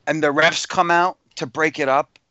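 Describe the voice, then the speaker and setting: This is a man talking on a casual podcast, slightly higher-pitched than most. His takes come out blunt and opinionated, with casual swearing. The sound is close and dry, with a dull, lo-fi tone. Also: fairly even intonation, moderate pace, sharp tone, dry recording. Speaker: podcast co-host.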